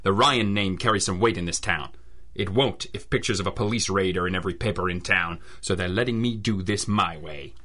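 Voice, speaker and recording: An actor playing a stern, cynical private detective in an old-style radio drama, with clipped, wry, accusing delivery. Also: male voice, low voice, brisk, bright, forceful tone. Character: hard-boiled, cynical adult male private detective, stern and relentless.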